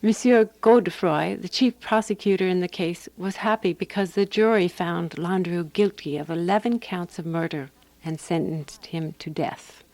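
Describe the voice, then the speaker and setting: A woman actor playing a composed, wry woman who narrates a radio drama in a measured, dry manner. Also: lowish voice, a little mellow, dry recording, lightly acted, poor audio. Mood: dry, satisfied.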